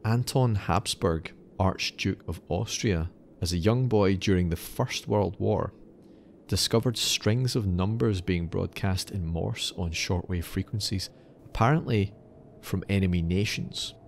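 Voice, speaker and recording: A man's voice: deep voice, a little slow, creaky, a little hiss or hum. A man hosting a podcast, narrating in an explanatory, slightly wry way that moves between scripted and casual. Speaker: podcast host.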